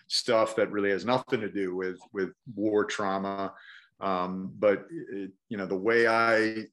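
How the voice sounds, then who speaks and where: A man's voice: fairly low pitch, fairly bright tone. A veteran man on a video call, speaking candidly and reflectively, restarting often. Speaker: veteran guest. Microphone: webcam mic on video call.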